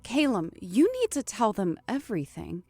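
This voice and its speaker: An actress playing an earnest, worried but assertive fiancée in a survival horror audio drama. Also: female voice, mid-range voice, big pitch swings, moderate pace, dark tone, soft background music. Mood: concerned, pleading. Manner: earnest, urgent. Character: worried, assertive female fiancée of a quarantined man. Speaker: voice actor.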